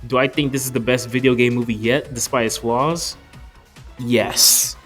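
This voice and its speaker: Guy in his 20s doing a casual scripted film review, regular pitched voice, faint music under it. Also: fairly even intonation, moderate pace, a bit bright. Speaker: video reviewer. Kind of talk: opinionated scripted movie review narration.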